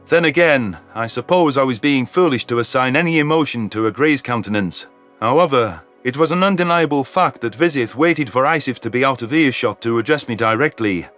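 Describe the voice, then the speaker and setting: A man's voice: medium pitch, lively intonation, a little fast, hardly any pauses, a bit bright. A man reading scripted first-person story narration. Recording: music under the voice. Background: music.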